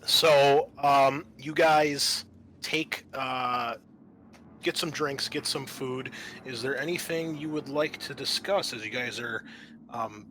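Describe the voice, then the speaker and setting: Male game master, medium pitch, bright tone, halting explanatory narration, faint background noise. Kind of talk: narrating a tabletop roleplaying game.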